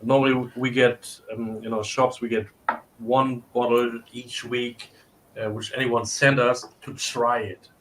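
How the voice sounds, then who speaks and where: Man, German accent, halting English, casual tasting host, mid-pitched, faint ambient noise. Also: level tone, a bit bright, rough recording. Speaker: tasting host and shop owner.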